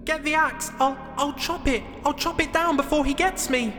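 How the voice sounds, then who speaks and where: Frantic, excitable fairy-tale lad played by a male narrator, pitched far up, cheeky and coarse. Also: slow pace, in a large hall, faint music behind, exaggerated voice. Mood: panicked.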